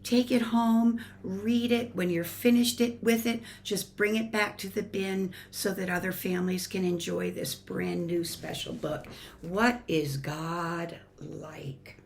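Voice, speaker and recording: A woman reading a children's picture book aloud in an expressive, deliberate way, as a church storyteller. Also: lowish voice, creaky, slight background noise. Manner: expressive, deliberate, shifting between emphatic and hushed. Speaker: church family ministry storyteller.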